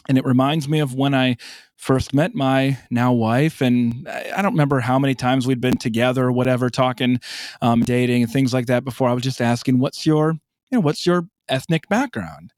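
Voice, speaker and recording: A man's voice: mid-range voice, fairly even intonation, brisk, darkish tone, no echo, clean studio sound. A man from South Dakota in his late thirties or early forties, answering interview questions in a thoughtful way and repeating words as he starts a thought. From South Dakota, USA. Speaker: guest.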